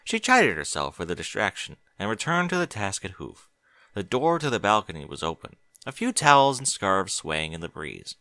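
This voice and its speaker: A man reading scripted fiction as an audiobook narrator, measured and expressive. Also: fairly low pitch, no echo.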